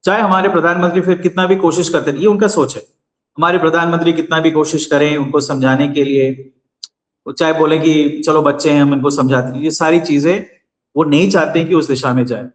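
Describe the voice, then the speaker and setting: A man, a guest commentator, explaining politics and history in a measured, lecturing way with pauses. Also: fairly high pitch, steady tone, reverberant.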